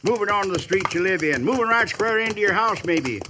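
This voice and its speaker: Old trail scout, American, higher-set voice, homespun frontier talk, vintage radio western with clear noise. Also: male voice, expressive, brisk. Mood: folksy, earnest. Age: older. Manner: folksy, drawling frontier dialect. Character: folksy old frontier scout, plainspoken, sympathetic to Indians. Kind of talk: 1950s radio western acting. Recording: noisy vintage 1950s radio transcription.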